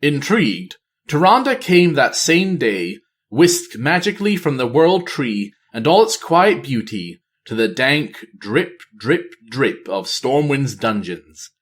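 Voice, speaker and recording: A man reading a fantasy novel aloud as scripted narration, in a measured, theatrical manner. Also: medium pitch, sing-song. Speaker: audiobook reader.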